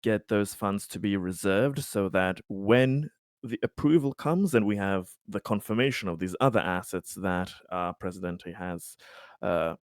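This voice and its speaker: A man talking through a point in a tabletop roleplaying discussion, in measured, piecemeal phrases. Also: lowish voice, no echo, studio quality. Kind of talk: conversational game discussion.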